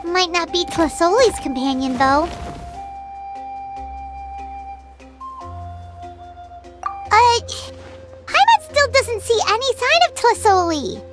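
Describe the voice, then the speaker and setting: A woman voicing a tiny, childlike fairy companion in a video game, squeaky and excitable, given to exclamations. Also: unhurried, heavily acted. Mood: alarmed curiosity. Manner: exclamatory, refers to self by own name. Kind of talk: animated game voice acting. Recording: game music behind voice.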